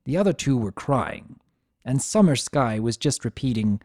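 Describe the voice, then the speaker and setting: Narrator for dramatic fiction reading: medium pitch, animated intonation, dry sound. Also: moderate pace, no room sound. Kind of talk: dramatic scripted narration in first-person character.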